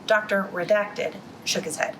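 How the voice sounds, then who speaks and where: A young woman reading a novel aloud at a library storytime, in an even reading voice with quick restarts after slips. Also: mid-range voice, slight background noise.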